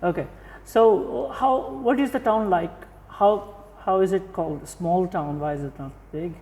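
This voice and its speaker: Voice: mid-range voice, a little slow, darkish tone, long reverb tail. An interviewer hosting a podcast, asking questions in a conversational way and affirming often with short okays.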